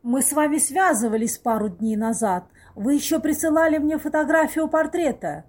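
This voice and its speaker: A woman's voice: high-pitched, normal speed, darkish tone, lightly acted. A woman playing a formal caller with urgent news in an amateur audio play.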